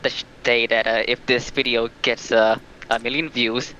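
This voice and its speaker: Bright-voiced man on a dull lo-fi call. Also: mid-range voice, little pitch movement. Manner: halting, rambling. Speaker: livestream call guest. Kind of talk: casual chat, recounting a plot. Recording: dull lo-fi call, faint noise.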